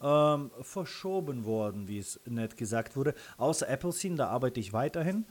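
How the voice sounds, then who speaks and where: A man with a Russian accent, a native Russian speaker, answering interview questions casually in halting, self-correcting phrases. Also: medium pitch, lively intonation, darkish tone. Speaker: interview guest, freelance photographer and videographer.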